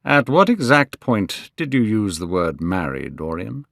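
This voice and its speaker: A man doing a languid cynical gentleman. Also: on the low side, big pitch swings, creaky, no echo, clean studio sound, full character voice. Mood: languid, teasing. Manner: slow, drawling, cynical. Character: worldly gentleman, slow, languid, teasing.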